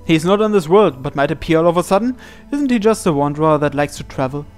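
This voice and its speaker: A man's voice: fairly high pitch, lively intonation, quick pace. A man hosting a gaming video, reading visual novel narration aloud. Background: soft game music.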